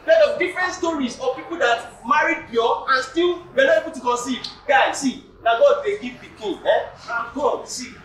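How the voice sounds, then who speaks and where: A man's voice: very high-pitched, normal speed, hardly any pauses, fairly bright tone, a little hiss or hum. A young man with a Nigerian accent playing a preachy, insistent friend in a stage play. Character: Ebuka, young man who quotes the Bible. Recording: echoey hall, far camera mic.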